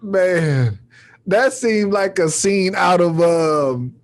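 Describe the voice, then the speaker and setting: A man's voice: sits high, expressive, extremely slow. A man hosting a podcast in a casual, laid-back chat, asking questions in loose, familiar phrasing. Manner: laid-back, often tags sentences with "man". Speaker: podcast host.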